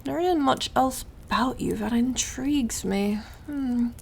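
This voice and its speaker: Woman acting a slow, silky, mocking devil. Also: sits high, creaky, a little hiss or hum. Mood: smug, taunting. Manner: theatrical, drawling, over-polite, taunting. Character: suave, mocking, condescending devil who deals in soul contracts. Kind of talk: ASMR roleplay monologue.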